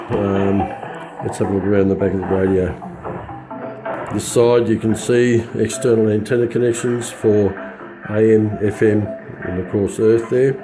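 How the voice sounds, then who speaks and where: An Australian man giving an informal hands-on product walkthrough in a halting, understated way, his voice slightly scratchy as he gets over influenza. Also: on the low side. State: recovering from influenza. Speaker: radio hobbyist reviewer.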